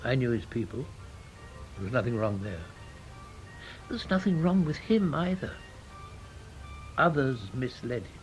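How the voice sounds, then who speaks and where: An actor playing an elderly, reserved English intelligence official in a radio drama, formal, measured and dryly evasive. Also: male voice, medium pitch, lively intonation, soft background music, close to an everyday voice, poor audio. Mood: wistful, measured.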